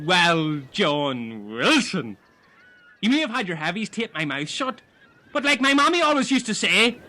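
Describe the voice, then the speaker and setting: Male actor as a high, brassy, self-important magician; comic villainy. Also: bright, forceful tone, exaggerated voice. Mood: menacing. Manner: grandiose, drops to low menacing tones. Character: pompous shrill male magician, scheming and theatrical. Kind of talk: comedy audio sketch.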